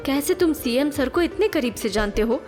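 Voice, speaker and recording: A woman's voice: high-pitched, expressive, normal speed, darkish tone. An actress playing a determined, wealthy young wife and businesswoman in an audio drama, earnest and assertive. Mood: warm, curious. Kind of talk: Hindi audio drama acting. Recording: music behind the voice.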